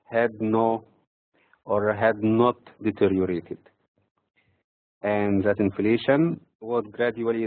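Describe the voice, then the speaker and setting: A man from Egypt with an Egyptian accent reads prepared financial market commentary in a measured, halting way, breaking phrases mid-sentence. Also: on the low side, no echo. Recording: tinny, phone-like narrow-band audio.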